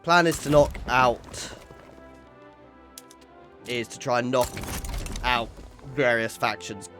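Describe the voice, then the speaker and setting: Man, middle-pitched voice, casual gaming commentary, thinking aloud, playfully exclamatory, soft music underneath. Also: takes it slowly. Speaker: gaming video host. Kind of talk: casual let's play commentary of a strategy game.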